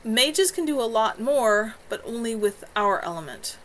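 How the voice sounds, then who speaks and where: A woman reading a novel aloud, voicing a calm, careful teenage mage who explains things in a measured way. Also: on the high side, slight background noise. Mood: explaining.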